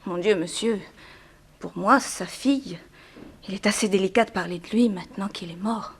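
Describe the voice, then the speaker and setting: Sad young woman in a 60s radio play, medium pitch, restrained, earnest, a bit plaintive. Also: normal speed, husky. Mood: bitter, sorrowful. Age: young adult. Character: sorrowful young daughter of a harsh plantation owner. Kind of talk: 1960s French radio drama acting.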